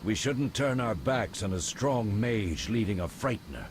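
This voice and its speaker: A man playing a commanding monster hunter in a video game, brisk and directive with his comrades. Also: lowish voice, lively intonation, background noise, close to an everyday voice. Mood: urgent, directive.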